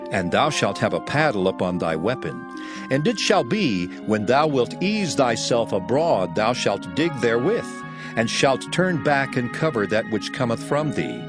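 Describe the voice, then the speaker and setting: A man reading scripture aloud as a narrator, solemn and measured, in a dramatized style. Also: medium pitch. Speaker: Bible narrator.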